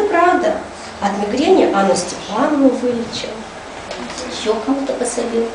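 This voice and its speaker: A woman voicing a chatty, folksy school cleaning lady who tells gossipy tales to children, in colloquial language. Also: a little high. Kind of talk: storytelling to children. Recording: echoey room, distant mic, noisy.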